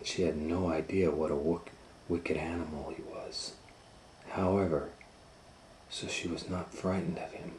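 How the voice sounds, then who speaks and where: A man's voice: deep voice, fairly even intonation, unhurried, a little mellow, a little hiss or hum, rough recording. A man hosting storytime, reading a children's book aloud in an informal, dramatic way with ad-libbed asides. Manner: informal, dramatic, ad-libs around the text. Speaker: host and storybook reader.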